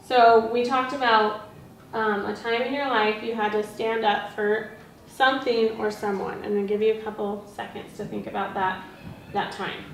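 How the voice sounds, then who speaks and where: A woman's voice: mid-range voice, fairly even intonation, moderate pace, creaky. A woman practicing classroom teaching, asking questions and echoing back answers in an encouraging way. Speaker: teacher rehearsing a read-aloud lesson with colleagues. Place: indoor room with group, distant mic. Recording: echoey room, distant mic, faint noise.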